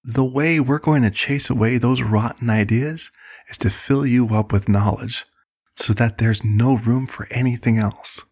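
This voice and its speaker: Casting: male actor for a manipulative male cult leader in ASMR roleplay, mid-pitched, unhurried, calmly persuasive and patronizing. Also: close to an everyday voice. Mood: coaxing, reassuring. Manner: slow, soothing, condescending, persuasive.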